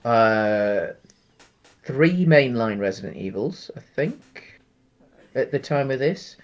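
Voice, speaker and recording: A man co-hosting a casual film discussion podcast, speaking in a hesitant, halting way. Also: medium pitch, animated. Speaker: podcast co-host.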